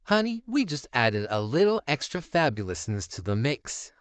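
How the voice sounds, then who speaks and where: A man's voice: mid-range voice, very animated, normal speed, smooth, no echo, pitched above the performer's own voice, lightly acted. Man doing a campy, sassy showman diva.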